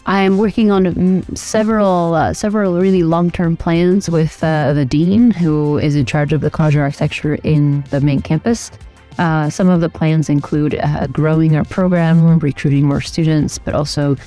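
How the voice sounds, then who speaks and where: A woman's voice: low-pitched, fairly even intonation, normal speed, mellow, soft background music. A middle-aged woman from Albania, answering interview questions thoughtfully with many fillers and restarts. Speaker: architect and program director, guest.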